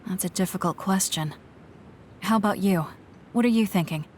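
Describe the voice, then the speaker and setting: A woman's voice: mid-range voice, normal speed, a little mellow, slight background noise, close to an everyday voice. An actress playing a stoic, blunt young woman fighter in a video game, speaking in a matter-of-fact, terse way. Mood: calm, frank. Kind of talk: English video game voice acting.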